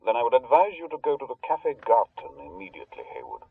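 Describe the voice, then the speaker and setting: An actor playing a curt, authoritative contact in an audio drama, heard in businesslike tones through a telephone filter. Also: male voice, mid-range voice, wildly swooping pitch, quick pace, gravelly. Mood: curt, urgent. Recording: tinny, narrow telephone line.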